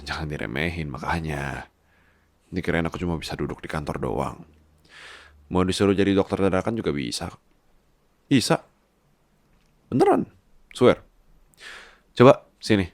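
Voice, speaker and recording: A man's voice: very deep voice, gravelly, no echo, exaggerated voice. A man playing a bossy, scolding but doting boss husband, teasing and tender in an intimate ASMR roleplay. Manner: teasing, nagging, tender, slips into English.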